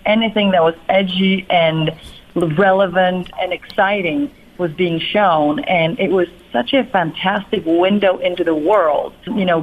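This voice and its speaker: A middle-aged woman from Poland with a Polish accent, telling her life story as an interview guest in a reflective, rambling way full of fillers and restarts. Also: lowish voice. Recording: narrow phone-like line.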